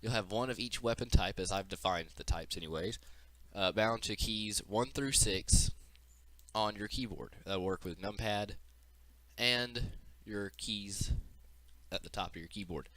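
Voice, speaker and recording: Guy with a lowish voice walking thru his game demo, unscripted, explaining stuff, you can hear him breathing. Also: measured pace, fairly bright tone, no room sound. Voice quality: audible breathing into the mic. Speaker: game developer presenting a demo. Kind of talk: informal screen-capture demo walkthrough.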